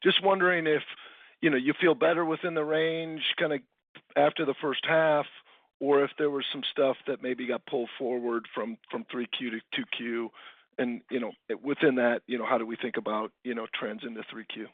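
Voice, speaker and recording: A man's voice: medium pitch, steady tone, normal speed, no echo. A man asking casual business questions as an analyst on a conference call, rambling with filler phrases over a telephone line. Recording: dry, narrow telephone line.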